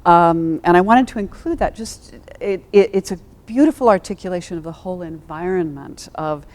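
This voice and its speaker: A woman with a slightly low voice talks as a theater director in a panel discussion. She is reflective and thoughtful. Her delivery halts and pauses mid-phrase as she works through her ideas. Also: darkish tone.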